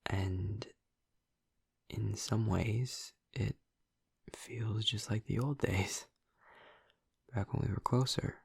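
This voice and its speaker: A man playing a gentle, earnest vampire boyfriend in an intimate audio roleplay, speaking softly and haltingly in a husky, breathy, hushed voice. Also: lowish voice, fairly even intonation, no room sound, clean studio sound, exaggerated voice. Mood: reflective, subdued. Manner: halting, soft-spoken, confiding with long pauses. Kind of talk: intimate audio roleplay, ASMR-like soft delivery.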